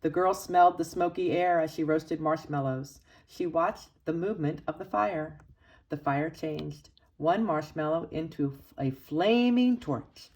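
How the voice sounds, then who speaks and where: A woman reading a children's picture book aloud expressively, with dramatic emphasis and pauses for young listeners. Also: low voice, darkish tone, poor audio. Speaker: storybook reader for children's church.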